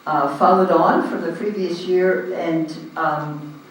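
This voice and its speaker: A man with an Australian accent chairing a community group's meeting, speaking loudly and casually with many ums into a handheld microphone. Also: fairly high pitch, steady tone, takes it slowly, slight background noise. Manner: loud, informal, hesitant with frequent ums. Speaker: meeting chair standing in for the president. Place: large echoey hall. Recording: echoey hall, recorded from a distance.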